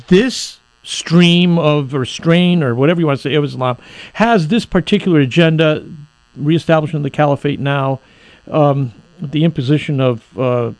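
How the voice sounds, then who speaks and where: Earnest higher-voiced male radio host. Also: a little mellow, dry recording, poor audio. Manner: earnest, exasperated questioning with restarts. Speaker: radio talk show host. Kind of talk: radio interview.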